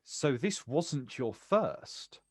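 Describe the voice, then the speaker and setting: An actor playing a bitter, protective older brother, confrontational and incredulous, in a naturalistic stage drama. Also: male voice, medium pitch, big pitch swings, extremely slow, darkish tone, husky, dry recording, clean studio sound, exaggerated voice. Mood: incredulous, accusing. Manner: confrontational, incredulous, clipped questions.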